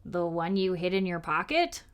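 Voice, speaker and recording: A woman's voice: fairly low pitch, very animated, moderate pace, clear, resonant tone. Woman reading as a curious, chatty young schoolboy. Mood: eager. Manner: inquisitive, direct.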